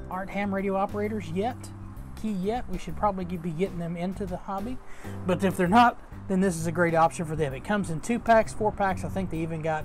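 A man in his thirties giving a casual, informal review to camera as host, with a halting delivery. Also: sits high, darkish tone. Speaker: product reviewer, channel host.

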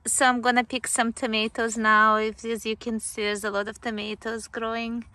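A woman's voice: fairly high pitch, flat delivery, moderate pace, bright, forceful tone. A woman, hosting a casual farm vlog and interviewing a farmer in an upbeat, encouraging way. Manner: upbeat, encouraging, with many "mm-hmm" backchannels. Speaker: host and interviewer. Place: outdoors on a farm. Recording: roomy outdoor sound with faint noise.

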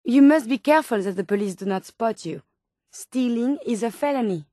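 A woman's voice: fairly high pitch, animated, moderate pace, warm tone, no room sound, clean studio sound. A woman voicing a polite, curious female robot scientist in a video game, with a French accent and formal, precise, clinical phrasing. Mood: curious, concerned. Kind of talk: video game companion dialogue lines.

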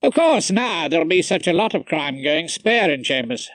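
A man's voice: a little high, big pitch swings, normal speed, a bit bright. A man voicing an ambitious, enthusiastic woman barrister in an audiobook, with a pointed manner. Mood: eager. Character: keen, ambitious woman barrister, wife and mother.

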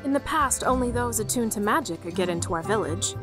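A woman's voice: fairly high pitch, expressive, fairly quick, natural voice. Woman playing a composed village leader, music behind. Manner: composed, firm. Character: calm, decisive female village leader. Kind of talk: audio drama acting.

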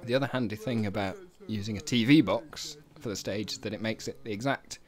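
A man's voice: lowish voice, a little fast, a crowd in the background. A man giving casual behind-the-scenes commentary as a co-host, in a wry, chuckly manner. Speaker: co-host, writer and puppeteer. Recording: music clearly playing behind. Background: music.

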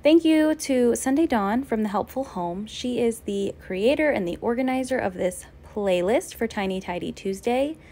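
Calm woman sharing home tips. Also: on the high side, moderate pace, hardly any pauses. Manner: calm, friendly, practical tips. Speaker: home organizing vlogger. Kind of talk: scripted voice-over narration.

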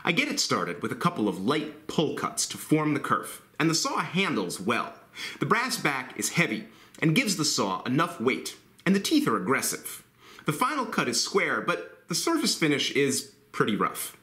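A man's voice: a little high, wildly swooping pitch, normal speed, sharp tone. A man in his forties hosting a woodworking video, giving a blunt, enthusiastic tool review to camera in his workshop. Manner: enthusiastic, blunt, jokey asides. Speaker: woodworking channel host. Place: woodworking shop. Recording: roomy workshop echo.

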